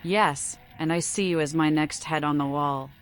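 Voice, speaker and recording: A woman with a fairly low voice performs scripted video game lines. Her delivery is a dramatic reading, as the protagonist of the game. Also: fairly even intonation, moderate pace. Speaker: gamer voicing the protagonist and reading game text.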